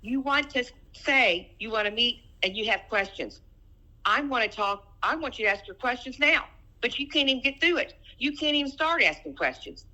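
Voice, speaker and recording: A woman's voice: a little high, expressive, moderate pace, pushed and bright, rough recording. A middle-aged woman in a personal phone argument, speaking insistently and repeating her phrases. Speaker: private caller in a family dispute.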